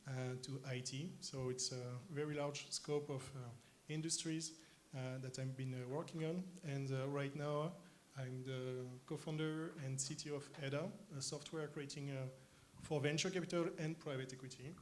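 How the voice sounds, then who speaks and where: A young man from France with a French accent, answering stage interview questions thoughtfully with frequent pauses. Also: mid-range voice, steady tone, a little hiss or hum. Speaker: interviewed guest, company co-founder. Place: conference arena stage.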